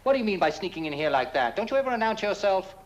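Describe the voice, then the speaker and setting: An actor playing a scornful, greedy, irritable schemer with a sardonic, theatrical delivery in a horror-comedy film. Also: male voice, high-pitched, big pitch swings, racing, highs cut off. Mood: irritated. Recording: noisy old film soundtrack.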